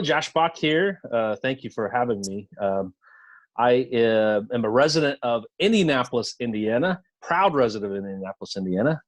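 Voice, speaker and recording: A man's voice: mid-range voice, no echo. A man introducing himself earnestly on a video call as a podcaster and panelist. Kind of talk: online forum introduction.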